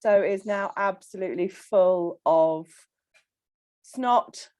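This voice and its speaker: A woman on a casual coaching call, croaky from illness, chatting with frequent ums and laughs. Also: mid-range voice, slow, darkish tone, dry recording. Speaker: coaching client.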